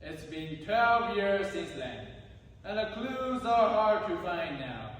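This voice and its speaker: A man as high-voiced loyal royal counsellor, echoing hall. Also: very animated, slow pace, slight background noise, heavily acted. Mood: dutiful. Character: loyal, measured royal brother-in-law, Creon. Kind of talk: stage reader's theatre. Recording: distant echoey hall, faint music.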